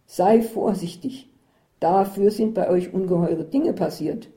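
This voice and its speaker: A woman voicing an easygoing man, dark-toned. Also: mid-range voice, a little slow, natural voice. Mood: stern. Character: easygoing man traveling companion, teasing.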